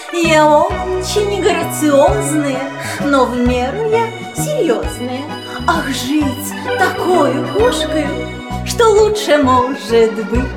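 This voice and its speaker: High-voiced, coquettish, vain cat. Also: female voice, roomy sound, exaggerated voice. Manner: coquettish, self-admiring. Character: sweet, vain, coquettish fluffy female house cat. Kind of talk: musical children's audio play, sung. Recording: studio track with musical accompaniment.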